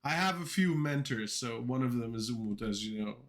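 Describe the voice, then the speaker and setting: A man's voice: mid-range voice, expressive, a little fast, a bit bright. A young man who grew up speaking Dutch and Turkish, chatting casually on a livestream while he codes, rambling with lots of fillers and restarts. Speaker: coding livestreamer.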